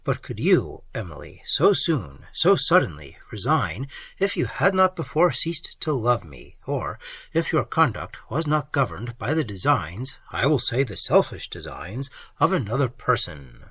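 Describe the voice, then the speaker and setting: A man's voice: medium pitch, big pitch swings, measured pace, a bit bright, slightly rough, dry recording, heavily acted. A man reading an audiobook voices a desperate young man who is ruined and pleads wildly with the woman he loves. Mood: despairing. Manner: impassioned, wild, pleading. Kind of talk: audiobook reading of a classic novel.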